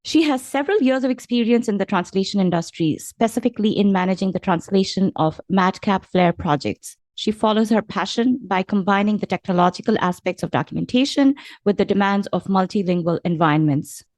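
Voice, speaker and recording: A woman's voice: mid-range voice, a little fast, hardly any pauses, darkish tone, dry recording. A woman hosting an interview podcast, greeting her guest in an upbeat, effusive way. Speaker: podcast host.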